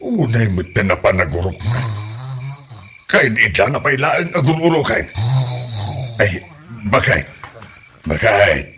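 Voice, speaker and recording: A man with an Ilocano accent playing a grumbling, excitable old husband and driver in a comedy audio drama, exclaiming and complaining. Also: lowish voice, takes it slowly, pauses often, exaggerated voice.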